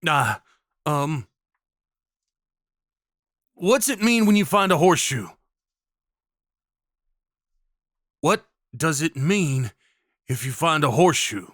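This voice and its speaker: A man's voice: a little high, lively intonation, takes it slowly, long pauses, fairly bright tone, dry recording, exaggerated voice. Man playing a folksy Old West cowboy, close and dry. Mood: flustered, awkward. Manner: folksy, reassuring, informal. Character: kindly rough-spoken Old West cowboy guide, protective and awkward. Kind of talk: intimate audio roleplay acting.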